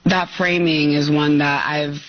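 A woman politician answering in a TV interview clip, earnest and measured, sticking to talking points. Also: low voice, level tone. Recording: muffled broadcast clip.

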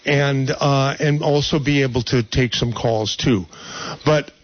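A man's voice: mid-range voice, unhurried, highs cut off. Hesitant male radio host. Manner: hesitant, many fillers. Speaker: radio talk show host. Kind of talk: radio interview.

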